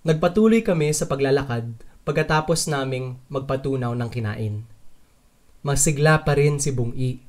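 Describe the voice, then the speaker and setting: Guy voicing a curious careful traveler, first person story narration, medium pitched voice. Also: normal speed, darkish tone, natural voice. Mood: narrating. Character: curious, wary traveler narrating his mountain trek. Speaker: narrator and lead character of a dubbed short story.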